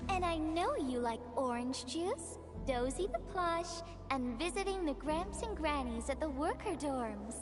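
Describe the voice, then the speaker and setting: A woman voicing a kind, encouraging big-sister figure in an anime-style game, with a gentle, coaxing manner. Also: sits high, expressive, measured pace, few pauses. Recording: music under the voice.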